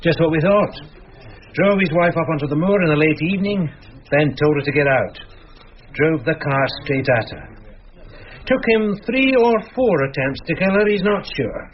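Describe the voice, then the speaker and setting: An actor playing a loyal, matter-of-fact police sergeant who tells things with wry understatement in a radio crime drama. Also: male voice, a little high, expressive, moderate pace, lightly acted. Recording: muffled radio drama, faint noise.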